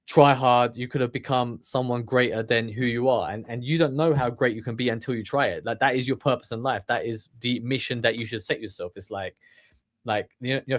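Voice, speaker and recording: A man from the UK talking through a loose motivational monologue, full of restarts, fillers and rhetorical questions. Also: mid-range voice. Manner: rambling, many restarts and fillers. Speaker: channel host.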